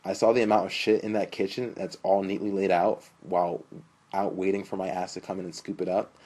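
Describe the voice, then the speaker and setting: A husky, low-toned young wisecracker played by a male narrator from a storybook reading. He talks in crude slang with sarcastic, excitable emphasis, and his voice stays dark and rough-edged. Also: brisk. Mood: enthusiastic, joking.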